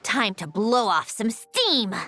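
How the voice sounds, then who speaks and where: A woman's voice: on the high side, very animated, slow pace, loud music behind the voice. A woman voicing an aloof, sardonic female scientist in a video game, with a dry, condescending, lecturing manner. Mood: pleading.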